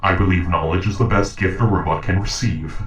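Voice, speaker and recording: A man playing a calm, logical sentient robot in an audio roleplay, speaking in formal, measured, precise sentences. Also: low-pitched, reverberant, recorded from a distance, lightly acted. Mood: calm, reassuring. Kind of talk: dramatic audio roleplay acting.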